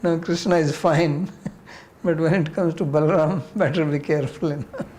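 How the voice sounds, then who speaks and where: A man's voice: fairly high pitch, fairly even intonation, moderate pace, darkish tone, a little hiss or hum. Indian man teaching, blunt and questioning. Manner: blunt, challenging, uses rhetorical questions. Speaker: spiritual teacher leading scripture class. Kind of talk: informal class discussion, answering questions.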